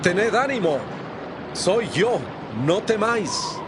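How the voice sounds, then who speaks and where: An actor playing an authoritative male teacher in a dramatized scripture reading, solemn and deliberate. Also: high voice, animated, noisy background. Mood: reassuring. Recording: clear music bed under voice. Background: music.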